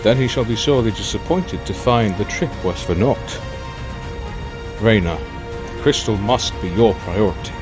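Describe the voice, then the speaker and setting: A dignified, fatherly king, played by a man in an audio drama. His voice is fairly low and his phrasing is formal and stately. Soft music sits behind him. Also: lively intonation, normal speed, close to an everyday voice. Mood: calm, resolute. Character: dignified, resolute aging king, calm and fatherly. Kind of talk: fan audio drama acting.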